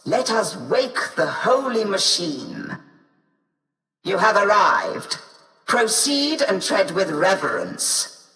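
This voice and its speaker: A woman's voice: on the low side, a bit bright, heavily acted. An actress voicing a haughty, disdainful female tech-priest of a machine cult in a video game, with a formal, imperious manner. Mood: imperious reverence. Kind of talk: video game character acting. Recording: distant, echoing, long reverb.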